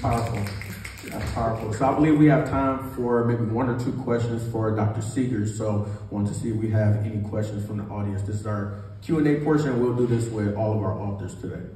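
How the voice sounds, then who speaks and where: A man's voice: on the low side, steady tone, fairly quick, warm tone. A young man hosting a live panel, speaking in a polite, appreciative way to the guest and audience. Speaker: panel host. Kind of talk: hosting a live question and answer session. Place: small indoor meeting room. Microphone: handheld mic, captured by distant camera. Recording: distant, very echoey room, faint noise.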